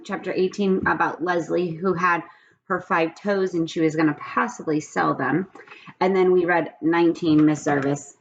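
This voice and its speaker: Low-voiced woman reading aloud, muffled noisy recording. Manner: expressive, addresses young listeners directly. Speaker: teacher reading aloud to children. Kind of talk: children's storybook read-aloud with asides.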